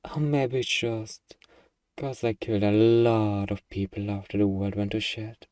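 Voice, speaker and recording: A man playing a gruff, defensive young zombie-apocalypse survivor with anxiety underneath, in an ASMR roleplay. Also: on the low side, flat delivery, unhurried, a little mellow, no room sound, clean studio sound, far from the mic, natural voice.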